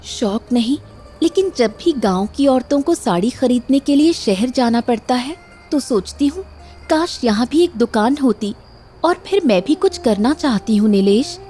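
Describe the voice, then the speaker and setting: A woman's voice: sits high, expressive, normal speed, warm tone, breathy edge, faint music behind. A woman voicing a sincere, hopeful young village wife in a cartoon moral story, with earnest emotion. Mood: shy, hopeful.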